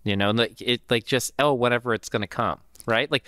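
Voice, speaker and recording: A man in his thirties hosting an interview, chatty and animated, often acting out quoted lines. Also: medium pitch, a little fast, dry recording, studio quality. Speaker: interview host. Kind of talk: casual interview chat. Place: hotel suite set with armchairs. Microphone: boom-arm podcast mic.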